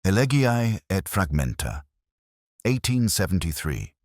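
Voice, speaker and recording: A man narrating a first-person detective mystery in a measured, reflective manner, close to the microphone. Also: low-pitched, very animated, no room sound.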